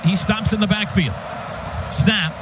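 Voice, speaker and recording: A man calling live football on the radio as play-by-play announcer, in clipped short phrases with excitable shouts on big plays. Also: high-pitched, a little slow, noisy background. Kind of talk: live sports play-by-play commentary. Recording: loud music bed under radio broadcast.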